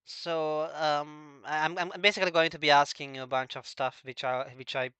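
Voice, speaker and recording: A man hosting a casual, unscripted interview, halting and stammering as he feels out each question. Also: fairly high pitch, steady tone, pushed and bright, clean tone, no echo, highs cut off. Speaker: interviewer, host.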